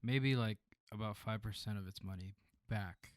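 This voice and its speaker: A man co-hosting a podcast, giving relaxed, opinionated takes with many "like"s and "uh"s. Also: lowish voice, normal speed, dry recording, clean studio sound. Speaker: podcast co-host. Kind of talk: casual chat, movie review.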